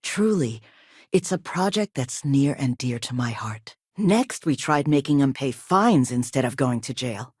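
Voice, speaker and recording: A woman voicing a composed, businesslike company owner in a video game, with a polite, measured and formal manner. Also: rumbling low, animated, darkish tone, slightly rough, no echo, studio quality, exaggerated voice. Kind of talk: video game dialogue acting.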